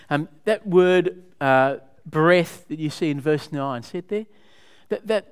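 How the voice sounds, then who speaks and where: A man teaching scripture to a small group, his voice smooth and clear and sitting a little high. He talks conversationally, stopping often to put questions to the room and explain what a passage means. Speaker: Bible study leader. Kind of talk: interactive Bible teaching.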